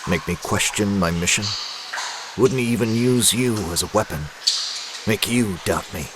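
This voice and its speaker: An actor playing a brooding, obsessive masked vigilante who is unraveling, in an intense, urgent comic-book drama delivery. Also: male voice, on the low side, moderate pace, background noise, close to an everyday voice. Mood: urgent, insistent.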